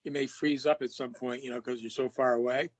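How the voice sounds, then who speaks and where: A man talks over a video call in a relaxed, loose way. He circles back on his own words, restarts sentences and repeats phrases as he talks about paranormal work. His voice sits at an ordinary middle pitch. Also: fairly quick. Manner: rambling, repeats himself with many restarts. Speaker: paranormal investigator. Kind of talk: casual video-call chat.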